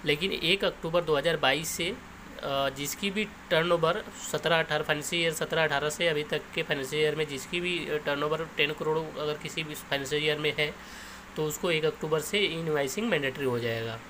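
A man's voice: fairly high pitch, a little fast, noisy background. A man giving an informal explainer, going over points step by step. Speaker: YouTube explainer host.

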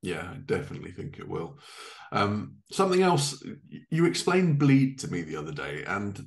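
Casting: male podcast host, thirties, low-pitched, casual conversational interviewer on a video call. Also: very animated, moderate pace, creaky. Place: living room, indoors, on a video call.

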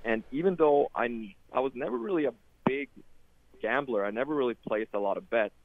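A man's voice: medium pitch, lively intonation, a little fast, dry recording. A man from Sweden, a guest in an interview, talking casually with many fillers and restarts. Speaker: guest, sports data product manager. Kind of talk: casual interview over a call. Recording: tinny phone-like line, dry.

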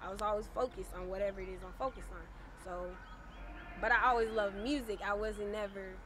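A soft-voiced young woman in her twenties chats casually in an interview, leaning on laid-back slang and filler tags. She is recorded outdoors by a parked car. Clear street noise hums behind her. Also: mid-range voice, steady tone, a little fast. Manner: soft-spoken, laid-back slang, frequent "you know what I'm saying". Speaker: rapper.

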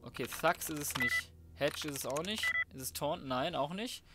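A man's voice: a little high, animated, normal speed, very noisy. A young adult man giving casual, slangy let's play commentary, often muttering to himself as he plays. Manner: colloquial, slangy muttering to himself while playing. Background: loud game music, typing and gunfire.

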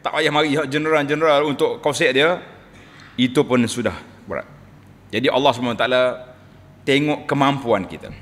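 A middle-aged man with a Kelantan Malay accent, giving a Friday sermon from a mosque pulpit and swinging between loud rises and low, quiet drops. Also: a little fast. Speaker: preacher. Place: large mosque hall, indoors. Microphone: pulpit microphone on a stand. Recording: long reverb from a large mosque hall.

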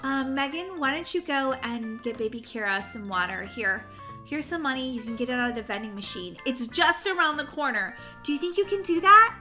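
High, bright, fussy babysitter. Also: female voice, lively intonation, fairly quick, soft background music. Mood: flustered. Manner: exaggerated, sing-song scolding and coaxing. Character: young female babysitter, fussy and exasperated. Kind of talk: children's game roleplay acting.

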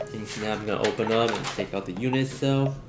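A man's voice: lowish voice, fast talker. A man in his thirties doing a casual, unscripted gadget unboxing as a vlogger. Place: small home dining room, indoors. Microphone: camera on the table or at arm's length. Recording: echoey room, music in the background.

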